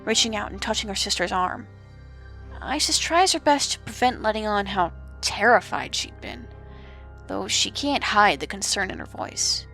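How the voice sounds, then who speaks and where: A woman narrator telling an audio-drama story in an expressive, suspenseful storytelling manner. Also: mid-range voice, normal speed. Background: music.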